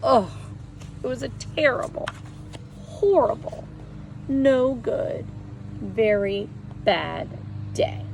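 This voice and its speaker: A woman reading a children's picture book aloud in an expressive, animated way, stressing and drawing out key words. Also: a little high, creaky, noisy background. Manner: animated, storytelling with emphatic stresses and drawn-out words. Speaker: read-aloud presenter.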